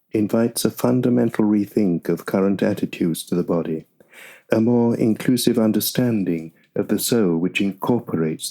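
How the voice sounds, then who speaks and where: A man reading a nonfiction audiobook in a measured, deliberate way. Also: fairly low pitch, warm tone. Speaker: audiobook narrator. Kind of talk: scripted nonfiction audiobook narration.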